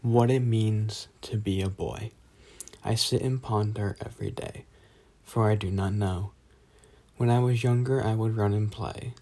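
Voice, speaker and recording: A man's voice: fairly low pitch, fairly even intonation, measured pace, warm tone. A young man, a student storyteller, reciting a scripted poetic monologue. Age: young adult.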